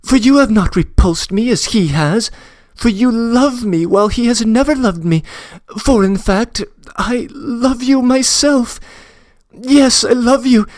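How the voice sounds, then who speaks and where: A man's voice: very high-pitched, dry recording. A man voicing a passionate young woman who pleads earnestly, close to tears, in an audiobook reading. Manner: trembling, earnest, breathless confession. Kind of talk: audiobook reading of a classic novel.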